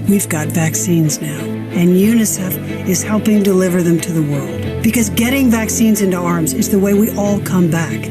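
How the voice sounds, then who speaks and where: A woman's voice: lowish voice, moderate pace, hardly any pauses. A woman reading a scripted promotional voice-over with a punchy, rallying delivery. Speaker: ad narrator. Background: music.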